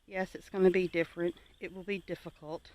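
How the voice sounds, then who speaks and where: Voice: mid-range voice, little pitch movement, brisk, darkish tone. A homesteading vlogger from the United States giving an informal, reflective talk to viewers in an earnest, plainspoken, advisory manner.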